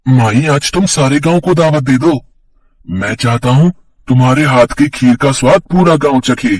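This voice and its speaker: A benevolent young god in child form, played by a man, earnest and coaxing in a cartoon tale. The sound is dry yet set back from the mic, at a middling male pitch. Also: normal speed, no room sound, recorded from a distance, natural voice. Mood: gently instructing.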